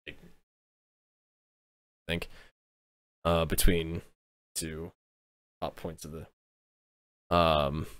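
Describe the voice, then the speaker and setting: A man's voice: sits low, dry recording, clean studio sound. A man with an American accent rambling casually to his viewers while livestreaming a game, often restarting and correcting himself. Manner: rambling, self-interrupting, chatting with viewers. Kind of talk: casual gaming livestream chat.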